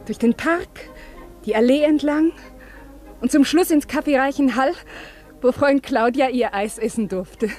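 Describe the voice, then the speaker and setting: Deferential, anxious former governess, mellow voice. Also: female voice, high voice, unhurried, soft background music. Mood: nostalgic. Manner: deferential, formal, hesitant. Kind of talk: German radio drama acting.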